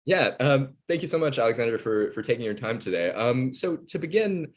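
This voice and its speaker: A man's voice: medium pitch, sing-song, brisk. A man hosting a student spotlight interview, giving introductions and asking polite, open questions in a conversational way. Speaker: interview host. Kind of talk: polite scripted-then-casual interviewing.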